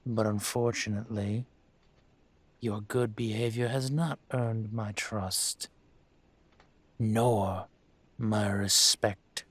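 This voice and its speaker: A man playing a dragon mafia boss in an audio roleplay, speaking with measured, condescending menace and smug control. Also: fairly low pitch, fairly even intonation, no room sound. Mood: stern, condescending. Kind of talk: scripted roleplay monologue to the listener.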